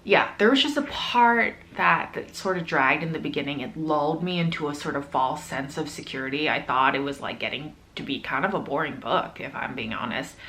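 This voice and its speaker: A woman's voice: sits low, fairly quick, hardly any pauses, a bit bright. A woman chatting casually to viewers as a book vlogger, in an informal, effusive, slangy way.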